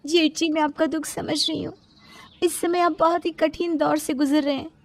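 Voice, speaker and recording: A woman's voice: sits high, steady tone, moderate pace, no room sound, pitched above the performer's own voice. A woman voicing a sympathetic, persistent young sister-in-law in a storybook tale, respectful and pleading.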